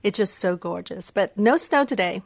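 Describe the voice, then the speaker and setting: A woman's voice: mid-range voice, expressive, normal speed, gravelly, no echo. A middle-aged woman from Indonesia and Hong Kong, native in Indonesian and Dutch, speaking English as a gracious, reflective podcast guest. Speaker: guest, music psychology researcher. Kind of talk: conversational podcast interview. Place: remote video call from home. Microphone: video call.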